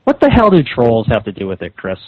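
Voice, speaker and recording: A man's voice: medium pitch, wildly swooping pitch, a little fast, no echo. A man arguing over the phone in an insistent, scolding, confrontational way. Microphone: telephone call. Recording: dull lo-fi phone call recording.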